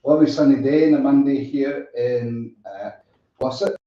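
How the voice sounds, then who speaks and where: A man's voice: medium pitch, moderate pace, a little hiss or hum. A man hosting a casual interview, informal and hesitant in his phrasing. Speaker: interviewer and show host. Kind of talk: casual chat. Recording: very echoey, distant, dull sound.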